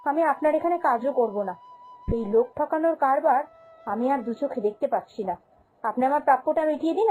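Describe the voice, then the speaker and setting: A woman's voice: sits high, darkish tone, rough recording. A woman voicing a humble, honest young female shop servant in a cartoon, speaking earnestly and plaintively. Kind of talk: cartoon fairy-tale voice acting.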